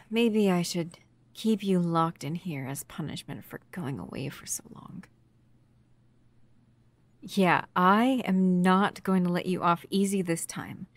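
A woman playing a protective, upset human lover in an ASMR roleplay, stern yet often softly whispery. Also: medium pitch, no room sound, lightly acted. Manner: soft, breathy near-whispers mixed with stern scolding.

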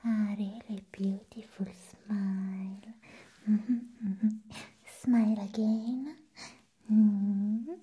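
Very slow, breathy whispering woman. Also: medium pitch, steady tone, muffled recording. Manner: slow, drawn-out phrases with frequent approving hums. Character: eccentric, playful female vampire nurse, hungry for blood. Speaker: ASMR performer playing a vampire nurse. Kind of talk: ASMR medical roleplay. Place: small indoor room set up as a clinic. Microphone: close ASMR microphone.

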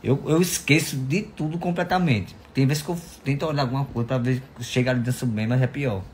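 A man's voice: medium pitch, fairly even intonation, fast, a little mellow, slight background noise. A man, chatting playfully and affectionately while answering follower questions on his phone at home. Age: 20s. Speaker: social media influencer. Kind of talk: casual selfie Q&A. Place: indoors at home, bedroom and kitchen. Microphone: phone at arm's length.

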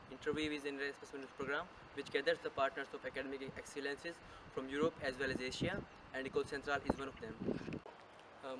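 A young man from India, a PhD student giving an earnest testimonial, repeating phrases at times. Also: mid-range voice. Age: 20s. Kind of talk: testimonial interview. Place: outdoors on a campus. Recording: distant mic, street noise.

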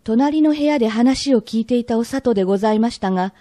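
Mellow, polite woman storyteller acting as narrator. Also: a little high, no room sound, studio quality, natural voice. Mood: measured, polite. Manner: polite, measured storyteller phrasing. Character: polite narrator of an old Edo tale. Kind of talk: storytelling narration of a period radio drama.

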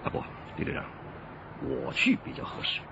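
An actor playing a commanding male police detective in a radio drama, brisk, decisive and authoritative. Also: close to an everyday voice. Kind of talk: Chinese radio drama acting. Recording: dull band-limited radio recording, noisy background.

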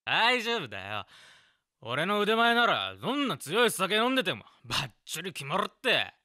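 An actor playing a cocky, easygoing young hairdresser in an audio drama, talking in casual, rough masculine slang. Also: male voice, sits high, very animated, normal speed, sharp tone, no echo, heavily acted. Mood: drunk, cocky. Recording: music behind the voice. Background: music.

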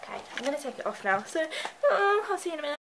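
A young woman with a slightly high voice chats to a handheld camera in her bedroom. She sounds stuffed up from a cold and talks in a nervous, rambling way full of "like". A faint hiss sits under the home recording. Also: very animated, very fast, creaky, a bit bright. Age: young adult. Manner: chatty, anxious, lots of "like". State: congested from a cold. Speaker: vlogger. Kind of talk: casual vlog chat to camera. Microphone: handheld camera close up.

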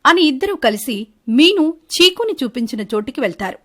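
Female storyteller for an animated moral tale, scripted narration linking the dialogue. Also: sits high, moderate pace.

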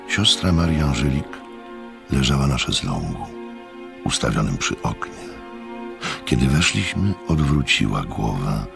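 A reflective gentleman storyteller in a radio drama. His voice is extremely deep and gravelly, with lively swings in pitch. He speaks in measured, courteous, literary phrases. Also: over music, full character voice. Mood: reflective, descriptive. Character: courteous first-person gentleman narrator, reflective and observant. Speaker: narrator and visitor in a radio play.

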